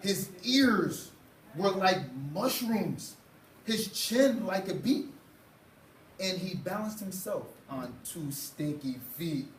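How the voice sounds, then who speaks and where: Young man, higher-pitched voice, unhurried dramatic storybook read-aloud, roomy classroom sound. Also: lively intonation. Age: young adult. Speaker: student teacher reading to a class. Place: indoor school classroom. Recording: roomy, distant mic, faint noise.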